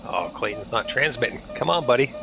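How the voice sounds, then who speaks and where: A man's voice: medium pitch, expressive, brisk, creaky, over music. A man chatting casually and joking with fellow pilots over voice comms during a glider sim race.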